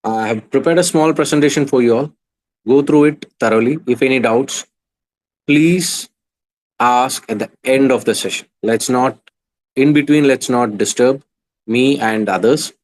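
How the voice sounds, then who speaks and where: A man's voice: mid-range voice, normal speed, a little hiss or hum. A man in his thirties with an Indian English accent, coaching students in an online class, earnest and hesitant with many restarts. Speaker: exam coach.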